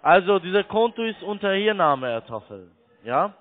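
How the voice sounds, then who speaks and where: A fairly high-voiced man, not a native German speaker, works a sales call over a thin phone line. He is insistent and blunt, talking like a call-centre agent. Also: big pitch swings, normal speed, crowd noise. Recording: tinny narrowband phone line.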